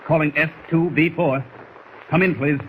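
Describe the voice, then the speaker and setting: An actor playing a dutiful navy radio operator in a 1940s radio serial, speaking in formal procedure. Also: male voice, a little high, fairly even intonation, normal speed, phone-quality sound, natural voice. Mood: concerned. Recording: faintly noisy vintage radio recording.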